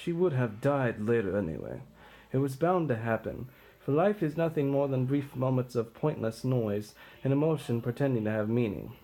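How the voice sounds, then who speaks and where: A man's voice: medium pitch, expressive, moderate pace, dark tone, pitched below the performer's own voice, poor audio. A man playing a bitter, defiant tyrant king facing his doom, declaiming in a brooding, combative way. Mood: bleak, weary.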